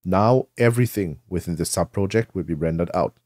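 A man narrating a software tutorial in a conversational, explanatory way, asking and answering his own questions. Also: sits low, fairly quick, very noisy. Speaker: tutorial presenter.

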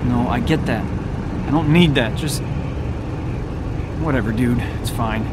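An actor playing a jittery, foul-mouthed young stoner driver in a video game, agitated and defensive in slangy speech. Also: male voice, medium pitch, a little slow, natural voice. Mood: subdued, bantering. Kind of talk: naturalistic video game voice acting. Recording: car engine noise behind. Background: car and outdoor ambience.

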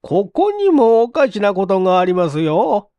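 A man's voice: high voice, huge pitch swings, moderate pace, dark tone, clear, resonant tone, no room sound, pitched above the performer's own voice, heavily acted. A man voicing a fussy, grumbling middle-aged landlady in a theatrical, nagging manner for a dramatized story reading. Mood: fussy, puzzled.

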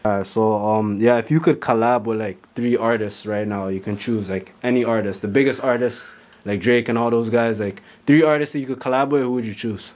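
A man's voice: mid-range voice, fairly quick. A young man hosting a casual interview, asking loose questions packed with "like" and answering with "okay, okay.". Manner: relaxed, many "like" fillers and "okay, okay" backchannels. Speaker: interviewer host. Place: small studio room, seated on a couch.

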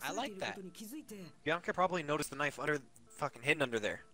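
A man's voice: fairly high pitch, expressive, quick pace, music in the background. A man reading game dialogue in a jokey, loose amateur fan dub with friends.

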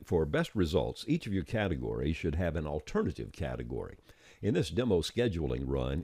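A man narrating an explanatory software tutorial in a measured, step-by-step way, recorded as a close, dry voice-over. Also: low-pitched, dry recording. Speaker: software developer giving a tutorial.

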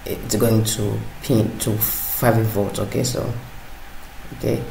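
A man narrating a hands-on electronics tutorial, halting and repeating words as he works. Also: on the low side, little pitch movement, creaky, warm tone. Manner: halting, hesitant, repeats words while working. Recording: roomy sound with faint background noise.

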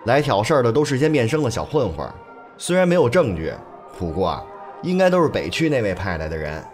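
Young male henchman played by an actor: loyal, irritated, very lively intonation, radio drama with music behind. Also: medium pitch, fast, lightly acted. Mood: annoyed. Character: loyal, irritated young male gang underling reporting to boss. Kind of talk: Chinese audio drama acting.

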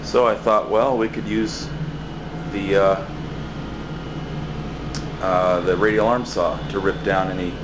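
Low-voiced man rambling through a shop tour. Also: takes it slowly, pauses often, background noise. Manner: rambling, relaxed, many fillers and restarts. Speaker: building maintenance worker giving a shop tour. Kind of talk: casual walkaround tour. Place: cluttered basement workshop, indoors. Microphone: handheld camera mic, speaker off screen.

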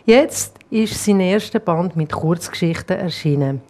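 A woman in her thirties with a Swiss German accent, hosting a studio interview and asking lively questions. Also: fairly low pitch, unhurried. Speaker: host. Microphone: lapel.

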